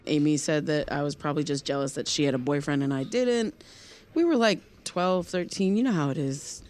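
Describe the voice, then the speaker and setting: A woman playing an awkward, guarded young loner in naturalistic audio drama, speaking in a hesitant, halting way. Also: sits low, darkish tone, lightly acted. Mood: reflective, uneasy.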